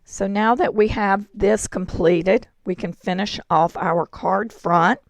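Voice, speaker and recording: Hoarse woman with a gravelly voice teaching crafts. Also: medium pitch, slow pace, no echo. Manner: chatty, step-by-step instruction. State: hoarse, throat-clearing. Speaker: card-making instructor. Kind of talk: crafting tutorial.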